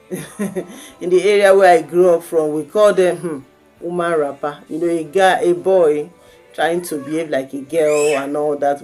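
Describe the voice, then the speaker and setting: A woman's voice: low voice, darkish tone. A woman from Lagos with a Nigerian accent, giving informal parenting advice in a conversational way, posing questions and answering them herself. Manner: conversational, asks and answers own rhetorical questions. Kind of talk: informal advice talk with anecdotes.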